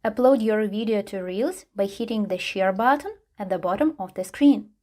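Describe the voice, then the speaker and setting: A woman hosting a tutorial, reading scripted step-by-step how-to narration at a medium pitch. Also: moderate pace, a little mellow. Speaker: tutorial host.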